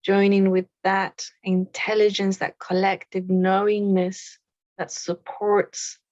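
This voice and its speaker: A woman leading a guided meditation in a soothing, unhurried way, with pauses between short phrases. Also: mid-range voice, flat delivery, a little mellow, dry recording, highs cut off.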